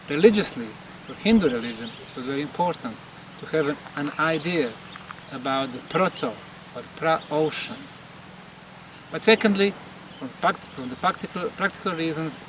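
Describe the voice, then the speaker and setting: A man from Bosnia giving an emphatic on-location explanation as a presenter, in deliberate short phrases. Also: on the high side. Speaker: presenter, pyramid researcher. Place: outdoors at a temple site. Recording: distant mic, clear outdoor background noise.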